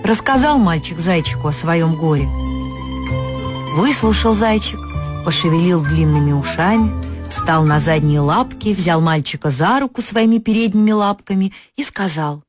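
A woman narrating a folk fairy tale for an audio story, expressive and lifting her pitch on key words. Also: normal speed, music in the background.

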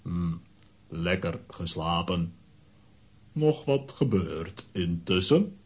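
A groggy, easygoing strongman in an audio play for children. His voice is deep and raspy, and his short phrases come out extremely slowly. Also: sing-song, exaggerated voice. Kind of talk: children's audio drama acting.

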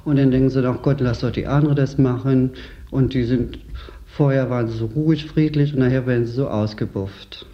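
A man's voice: mid-range voice, steady tone, fairly quick. A man working as a store assistant manager, talking casually and colloquially in an interview, often tagging statements with a questioning word. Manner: colloquial, tags statements with "nicht?". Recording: slightly dull lo-fi interview tape.

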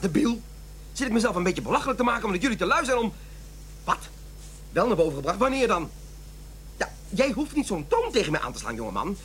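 Radio drama role: irritable middle-aged office boss and husband off cigarettes, animated and blustering, faint hum behind. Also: male voice, sits high. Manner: blustering, defensive. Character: irritable office manager and husband quitting smoking. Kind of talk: radio play acting.

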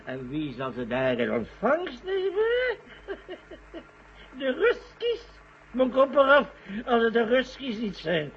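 An actor playing a tipsy, gloating old scientist, lecherous and rambling, in a vintage radio drama. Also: male voice, extremely high pitch, wildly swooping pitch, slow, exaggerated voice. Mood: gloating, gleeful. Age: older. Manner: lecherous, gleeful, rambling, chuckling. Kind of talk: 1970s Dutch radio drama acting. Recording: dull, noisy old radio recording.

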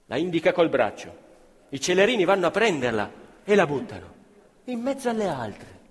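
A man's voice: fairly high pitch, very animated. An actor playing a proud, anxious working man, telling his story as a colloquial theatrical monologue. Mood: hushed, tense. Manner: dramatic, colloquial, with pauses and exclamations. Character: working-class young man fondly recalling his cherished bicycle, proud, anxious. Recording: live violin music under the voice. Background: violin and ensemble music.

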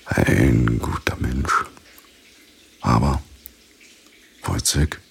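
An actor in a radio drama playing a pompous, befuddled, moralizing army captain who speaks haltingly and repeats himself. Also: male voice, a bass voice, creaky, breathy edge, full character voice. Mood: condescending, musing. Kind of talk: theatrical radio drama acting.

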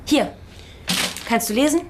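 A woman's voice: sits high, measured pace, noisy background. An actress playing a feisty, defiant young woman student in a German radio play. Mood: insistent, cheeky. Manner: brash, defiant. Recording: studio radio drama with faint background ambience.

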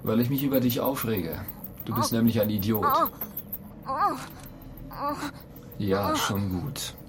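A man's voice: lowish voice, moderate pace, natural voice. A man playing an irritable, ambitious astronaut in a radio play, blunt, impatient and argumentative. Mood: irritated. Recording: clear noise bed behind the voice.

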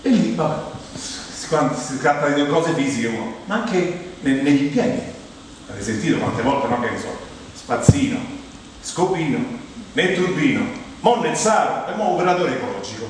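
A man's voice: mid-range voice, animated, fairly quick. A man with a Roman accent performing a comic theatrical monologue in a wry, ironic, conversational way, with mock-solemn turns. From Rome, Italy. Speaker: stage monologuist. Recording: very echoey hall, distant mic, clear noise.

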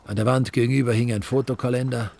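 An actor as a dry, ironic storyteller narrating a radio drama in a gravelly voice with an Austrian accent. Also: male voice, mid-range voice, fast, noisy background, lightly acted. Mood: dry irony. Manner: deadpan, sardonic.

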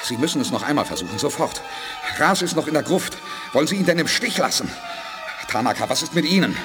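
Radio drama space leader: determined, persuasive, urgent authority, animated intonation. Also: male voice, on the high side, quick pace, music in the background. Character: determined male space expedition commander, confident, urgent. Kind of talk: 1980s German radio drama acting.

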